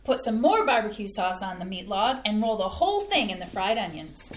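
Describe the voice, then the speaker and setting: A woman's voice: mid-range voice, big pitch swings, a little fast, reverberant, natural voice. A woman reading the part of a cheerful mother who proudly explains her home recipes in a chatty, folksy way, in a community staged reading. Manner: chatty, folksy, eager to please. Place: small community playhouse stage.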